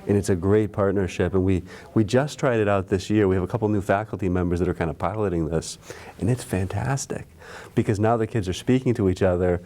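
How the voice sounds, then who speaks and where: A man's voice: fairly low pitch, warm tone. A man from the local school system talking earnestly about programs, often restarting his sentences. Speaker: school administrator guest. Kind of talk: casual chat.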